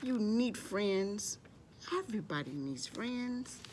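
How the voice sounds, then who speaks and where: A woman's voice: mid-range voice, lively intonation, slow pace, darkish tone, a little hiss or hum. A woman hosting a children's library storytime, speaking to young children as she tells stories and shows crafts, often referring to herself in the third person.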